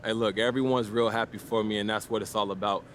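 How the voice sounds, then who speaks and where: A man's voice: fairly low pitch, fairly even intonation, fast, a bit bright, a little hiss or hum, natural voice. A man playing a young, easygoing but guarded basketball rookie in a video game, answering the press in a casual, hesitant, diplomatic way. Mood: upbeat, grateful.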